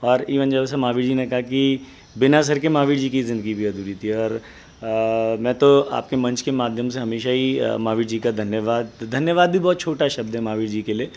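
A young man sharing memories, hesitant and full of fillers, clearly moved as he talks. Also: medium pitch, fairly even intonation, normal speed, darkish tone. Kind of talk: emotional personal recollection.

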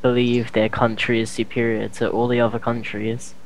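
A man with a mid-pitched voice rants bluntly over an online voice call. He states his opinions flatly and without softening. A faint hiss runs under the computer mic. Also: normal speed, creaky, rough recording. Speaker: Skype call participant. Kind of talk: casual group chat.

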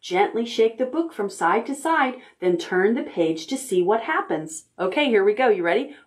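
Slow-paced woman reading to toddlers. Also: a little high. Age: middle-aged. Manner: simple, expressive talk addressed to toddlers. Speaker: library storytime host. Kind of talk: children's storytime read-aloud. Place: indoor room, recorded on video.

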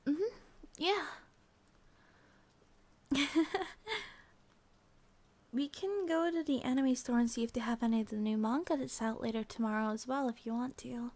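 A woman playing a sleepy, affectionate young student girlfriend in an ASMR roleplay, talking softly, drowsily and hesitantly. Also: fairly high pitch, measured pace, pauses often. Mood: soft, chatty. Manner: soft, drowsy, hesitant, giggly.

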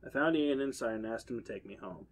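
A man's voice: medium pitch, quick pace, a little hiss or hum. A man on a podcast reading part of a horror story aloud, with occasional quips. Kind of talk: casual reading with banter.

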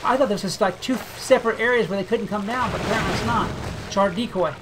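A game video creator giving casual gameplay commentary, thinking aloud in a relaxed, chatty way. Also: mid-range voice, fast, background noise. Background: game music.

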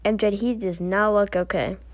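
A woman doing amateur voice acting, playing all the characters of an animated story herself. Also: mid-range voice, moderate pace.

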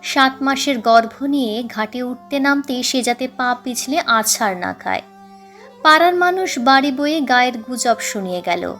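A high-pitched woman reads a literary tale aloud. Her delivery is measured and dramatic, like an audiobook storyteller, with music behind her. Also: a bit bright. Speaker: audiobook narrator. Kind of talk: dramatic scripted story narration.

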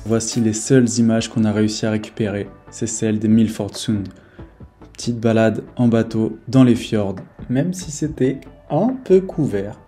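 A man's voice: lowish voice, dark tone. Young man vlogging, music behind. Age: 20s. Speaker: travel vlogger. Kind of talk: casual travel vlog talk and narration.

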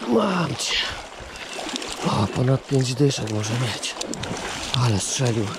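A man with a Polish accent fishing from a riverbank, filming on a chest-mounted action camera and muttering excited, coaxing commentary. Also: mid-range voice, takes it slowly. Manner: excited muttering, coaxing the fish with repeated words. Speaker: angler filming his catch. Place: outdoors on a riverbank. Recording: loud river and outdoor noise.

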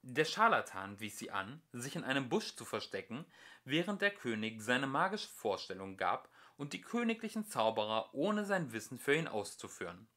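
A man reading a fairy tale aloud as an amateur audiobook narrator, with expressive delivery. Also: mid-range voice, normal speed.

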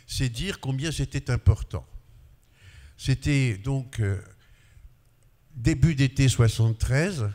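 An older man whose first language is Arabic, a pediatrician, telling a personal story at a panel tribute on a handheld mic. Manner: storytelling with shifts of pitch and loudness, gesturing. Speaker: speaker paying tribute, pediatrician. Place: large glass-walled conference hall. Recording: long reverb in a hall.

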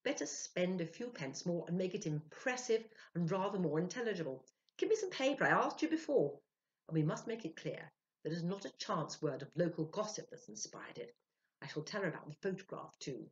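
Woman playing excitable, self-important husband, animated. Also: fairly low pitch, a little fast. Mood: impatient. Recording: dull, lo-fi sound.

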